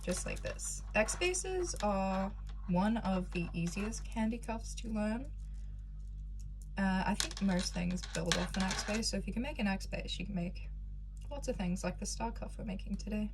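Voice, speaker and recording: A young woman recovering from a cold, calmly walking viewers through a beading craft step by step. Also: medium pitch, normal speed, noisy background. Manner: calm, patient instructions, counting out beads. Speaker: craft tutorial maker.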